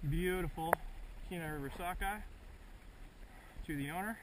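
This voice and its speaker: A man's voice: high-pitched, moderate pace. A man chatting casually and joking lightly while fishing outdoors in a river, filming on an action camera. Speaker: amateur angler filming a fishing trip. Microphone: action camera worn or handheld. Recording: distant action-camera audio, loud river noise.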